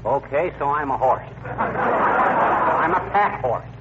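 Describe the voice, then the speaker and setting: An actor playing a lazy, wisecracking husband who complains in a folksy, exaggerated way in a radio comedy. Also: male voice, fairly high pitch, sing-song, takes it slowly. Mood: weary, complaining. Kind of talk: 1940s radio comedy acting. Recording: tinny, very noisy vintage radio recording.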